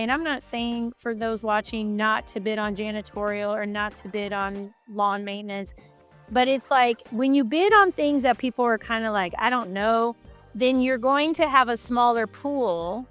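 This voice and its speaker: A woman's voice: a little high, normal speed, fairly bright tone, faint music behind. Chatty, enthusiastic woman host. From Michigan. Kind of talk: casual business chat.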